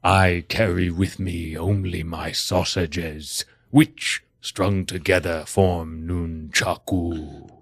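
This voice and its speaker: A man plays a proud goatherd warlord in an improvised comedy sketch. He speaks in a lowish voice with stately slowness. The phrasing is pompous, archaic and theatrical, recorded close and dry. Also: fairly even intonation, no room sound, exaggerated voice.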